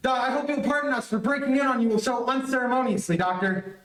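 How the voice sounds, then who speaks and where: A man's voice: very high voice, fast talker, a bit bright. A man playing a brave, protective young athletic hero in an old-time radio serial, earnest and commanding. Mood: earnest, wary. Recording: echoey stage, distant mic, live stream.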